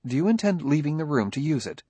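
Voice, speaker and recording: Man acting a polite, husky-voiced logical robot. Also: on the high side, sing-song, warm tone, no room sound, voice pushed up. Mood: calm, logical. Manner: formal, measured, courteous. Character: polite, logical, unruffled male humanoid robot partner.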